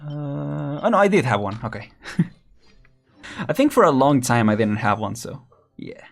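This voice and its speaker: A man's voice: medium pitch, big pitch swings, measured pace, gravelly. A young man casually commenting while streaming a game, chatty and laughing often, counting down as he plays. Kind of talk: casual live gameplay commentary.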